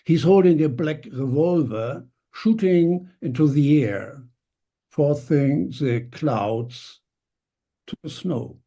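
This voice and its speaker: A man reading an English translation of a poem slowly and haltingly over a video call. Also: fairly high pitch, mellow. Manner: slow, halting recitation. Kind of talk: poetry reading.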